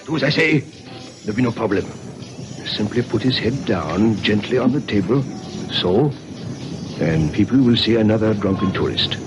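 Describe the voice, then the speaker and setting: A smooth, cold-blooded killer , voiced by a man. His voice is low and lively, with a calm menace behind the courtesy. This is vintage radio-drama villainy. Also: normal speed, pauses often, loud music behind the voice, close to an everyday voice. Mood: menacing. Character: menacing French waiter and hired killer. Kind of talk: 1950s radio drama acting.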